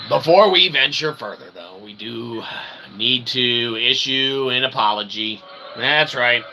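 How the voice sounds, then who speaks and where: Creaky-voiced man rambling philosophically over noise. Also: medium pitch, a little slow. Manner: wordy, convoluted phrasing with tag questions. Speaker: host of a philosophy livestream. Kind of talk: rambling philosophical monologue to viewers. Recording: clear background noise.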